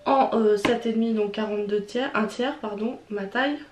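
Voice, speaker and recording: A woman's voice: a little high, normal speed. Chatty Swiss French woman with a cold. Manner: chatty, hesitant, frank, many fillers and restarts. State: stuffy from a cold. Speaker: vlogger. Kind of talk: casual unboxing and try-on chat. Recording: roomy with faint background noise.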